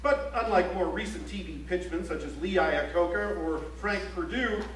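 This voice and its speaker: Actor as male documentary chronicler, high voice, articulate, dry and declamatory, staged in an echoey hall. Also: normal speed, natural voice. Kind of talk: theatrical scripted documentary narration. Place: library auditorium stage, recorded from the audience. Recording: echoey auditorium, distant mic, faint hum.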